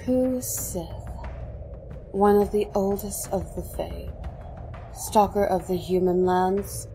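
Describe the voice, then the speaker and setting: Woman as an elven queen, mid-pitched, mellow, unhurried, stately, grave, regal, fantasy audio drama. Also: faint music behind, natural voice. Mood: grave, reverent.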